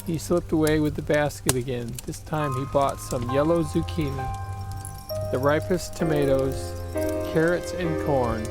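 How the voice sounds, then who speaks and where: A man narrating a children's picture book aloud in a deliberate, expressive storytelling manner. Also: a little high, over music.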